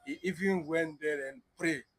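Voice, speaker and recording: A man interpreting between guest and host, relaying slowly with halting pauses and fillers. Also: fairly high pitch, fairly bright tone, dry recording, clean studio sound. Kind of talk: interpreting in conversation.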